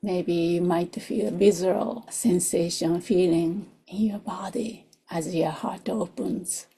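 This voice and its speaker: A woman who is not a native English speaker leads a guided meditation in a soft, gentle voice, in short unhurried phrases that often drop toward a whisper. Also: fairly low pitch, fairly even intonation, husky. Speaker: meditation teacher. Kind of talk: guided meditation, slow and soothing.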